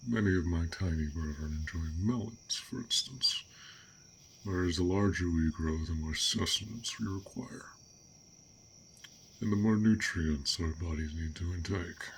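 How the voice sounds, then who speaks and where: A man playing a gentle, curious dragon in a roleplay audio drama, with formal, old-fashioned, pensive speech. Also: deep voice, unhurried, vocal fry, a little hiss or hum, exaggerated voice, low-quality recording. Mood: musing, courteous.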